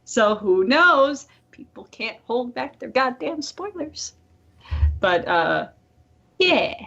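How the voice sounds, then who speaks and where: A woman's voice: sits high, very animated, slow pace, vocal fry, fairly bright tone, muffled recording. A woman co-hosting a podcast in casual chat, talking in a chatty, informal way and swearing freely. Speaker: podcast co-host.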